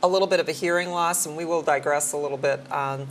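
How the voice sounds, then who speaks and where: A middle-aged woman, a hearing care professional, giving friendly practical advice in a conversational talk show, sometimes slowing down to demonstrate clear speech. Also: low-pitched, steady tone, crowd noise.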